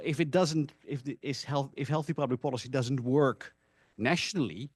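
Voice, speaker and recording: A man who is a non-native English speaker, giving an informal academic presentation in an animated storytelling manner with rhetorical asides. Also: mid-range voice, no room sound. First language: not English (English is a third language).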